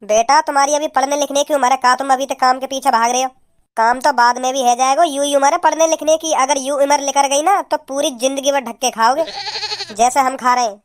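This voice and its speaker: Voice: high voice, steady tone, fairly quick, natural voice. A performer voicing a weary, earnest working uncle who gives advice in cartoon dialect, repeating phrases for emphasis. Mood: earnest, regretful. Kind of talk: comic dialect dialogue in a cartoon.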